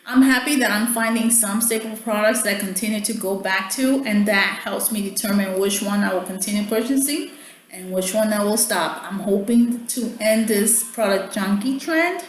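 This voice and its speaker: A woman whose first language is Spanish, talking casually to viewers about products she bought and often checking in with "okay?". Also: fairly high pitch. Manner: chatty, keeps checking in with "okay?". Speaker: beauty vlogger. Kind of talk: casual product haul chat. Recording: echoey room, faint background hum.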